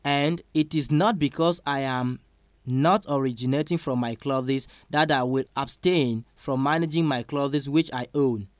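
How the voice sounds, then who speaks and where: A man interpreting a sermon line by line, slow and careful, with small slips. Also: mid-range voice, dry recording, studio quality.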